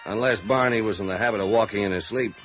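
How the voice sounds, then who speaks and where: Man playing terse, low-voiced radio police sergeant. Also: quick pace, close to an everyday voice. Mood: guarded, dry. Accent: American. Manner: clipped, deadpan. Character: terse, guarded, dry middle-aged male police sergeant. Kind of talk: 1950s radio noir acting. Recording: dull vintage radio recording, faint music.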